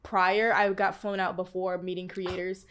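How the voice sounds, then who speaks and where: A young woman guest on a podcast, chatting in a relaxed way and often agreeing with brief remarks. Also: on the low side, moderate pace, crowd noise. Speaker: podcast guest, content creator. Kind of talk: casual chat.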